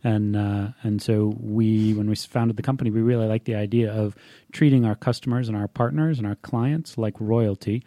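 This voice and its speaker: An easygoing man in his forties, from Hawaii, talks through his career as a radio guest. His voice sits low and mellow, and the sound is dry and close. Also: steady tone, moderate pace, no echo. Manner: easygoing, lightly joking. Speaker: guest, company founder and physician. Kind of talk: casual interview answers.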